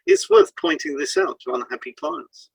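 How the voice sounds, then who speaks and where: A man's voice: very high-pitched, wildly swooping pitch, normal speed, creaky, slightly rough, no echo, rough recording. A man reading a scripted educational piece aloud as a podcast co-host.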